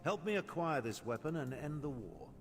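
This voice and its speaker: An actor playing a secretive, commanding imperial schemer in a fantasy video game, speaking in a formal, persuasive way. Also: male voice, a little high, lively intonation, normal speed, close to an everyday voice. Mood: urgent, persuasive. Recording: long hall reverb, music underneath. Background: game music.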